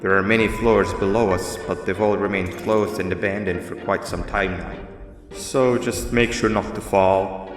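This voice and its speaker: An actor voicing a calm, grave monster mascot in a video game, giving measured, formal instructions like a schemer. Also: male voice, low voice, natural voice. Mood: calm, instructive. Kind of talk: scripted video game dialogue. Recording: roomy reverb with music underneath.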